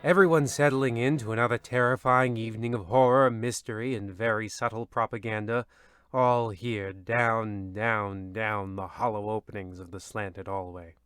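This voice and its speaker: An actor playing a petty, theatrical male narrator of an old-time radio horror comedy, with ominous drawn-out lines and peevish asides. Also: fairly low pitch, fairly even intonation, fairly bright tone, clean tone, no echo, clean studio sound, lightly acted.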